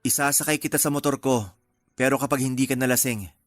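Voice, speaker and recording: An actor playing a terse, gruffly caring young man in a radio romance drama. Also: medium pitch, steady tone, fast, lightly acted. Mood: dry, firm. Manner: terse, matter-of-fact.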